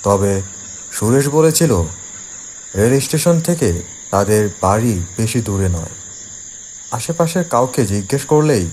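A man narrating a scripted first-person horror story for an animated cartoon. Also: fairly low pitch, slight background noise.

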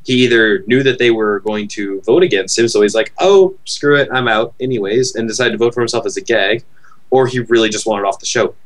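A man's voice: medium pitch, fairly quick. Rambling male co-host on a close mic. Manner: rambling, apologetic, thinks out loud. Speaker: podcast co-host. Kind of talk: casual podcast chat. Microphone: close headset mic.

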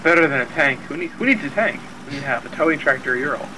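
Man, fairly high voice, casual gamer banter, wry, clear engine noise in background. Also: lively intonation, fast. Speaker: video game player. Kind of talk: casual gaming chat, storytelling. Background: game vehicle engine noise.

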